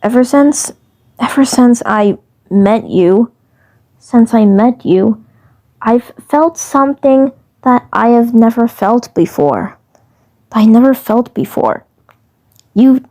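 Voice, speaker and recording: A woman's voice: a little high, warm tone. A woman voicing a small male cartoon commander, teasing and smitten, who repeats his phrases hesitantly and earnestly.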